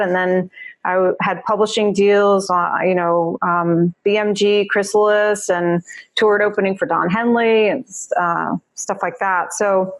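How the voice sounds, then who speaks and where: A woman with a New Jersey accent, a mindfulness teacher chatting as a podcast guest, laughing often and talking with many fillers. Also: medium pitch. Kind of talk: casual podcast interview.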